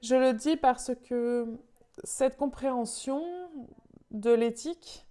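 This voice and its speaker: Slow, smooth-voiced woman interpreting. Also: a little high, fairly even intonation, dark tone. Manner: halting, searching for words. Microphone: video call.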